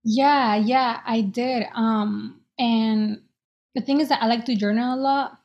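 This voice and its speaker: A woman's voice: on the high side, fairly even intonation, slow, darkish tone. Woman in her twenties from El Salvador, interview guest, earnest and hesitant, slightly muffled recording. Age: 20s. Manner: hesitant, many "um"s, earnest and reflective. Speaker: guest, business owner. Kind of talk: casual interview conversation.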